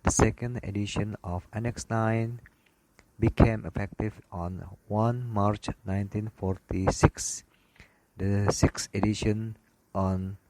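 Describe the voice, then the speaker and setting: Halting low-voiced man reading a lecture, noisy recording. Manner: halting, word-by-word reading with stumbles. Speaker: aviation lecturer. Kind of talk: read-aloud slide lecture. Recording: clearly noisy background, clicks.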